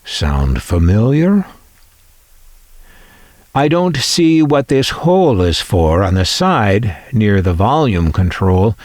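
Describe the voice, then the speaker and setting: Slow-talking man with lively, swooping intonation. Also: lowish voice, dry recording, studio quality. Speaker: host of a vintage electronics channel. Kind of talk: scripted narration.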